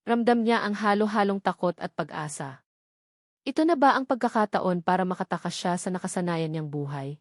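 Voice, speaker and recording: A woman's voice: mid-range voice, lively intonation, brisk, no echo, studio quality. A woman with a Filipino accent reading a scripted romance story as its narrator.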